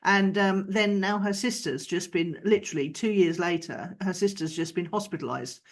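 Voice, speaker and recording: A woman's voice: lowish voice, moderate pace. A woman co-hosting a podcast in casual chat, prompting her guest with questions and brief encouraging replies. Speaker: podcast co-host.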